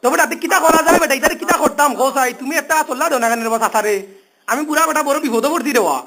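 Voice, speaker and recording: A man's voice: very high-pitched, rapid-fire, background noise. A man with a Sylheti accent on a phone call, talking informally in a pleading, complaining, repetitive way. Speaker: phone caller.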